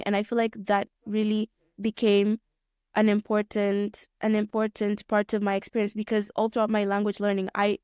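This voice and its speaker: A young woman from Kenya answering interview questions in a relaxed, thoughtful way, often rephrasing mid-sentence with plenty of fillers. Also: mid-range voice, smooth, no room sound, clean studio sound. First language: Swahili. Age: young adult. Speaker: guest, pilot student.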